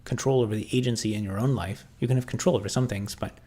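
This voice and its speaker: A man with a Canadian accent chatting casually on a livestream, answering viewers and thinking aloud in halting, restarting sentences. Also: medium pitch, fairly even intonation, dark tone.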